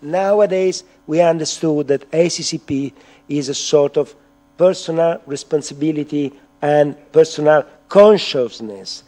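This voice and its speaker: Mellow-voiced man chairing a session. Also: a little high, measured pace. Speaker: conference chair. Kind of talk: formal introduction. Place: lecture hall.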